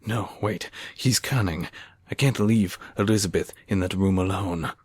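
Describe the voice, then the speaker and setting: A man's voice: sits low, measured pace, darkish tone, breathy edge, dry recording. A man playing a haunted, defiant scientist in a horror radio play, with an earnest, theatrical delivery. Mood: grimly determined. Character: anguished, haunted scientist and new husband, defiant. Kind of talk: amateur radio-play style horror acting.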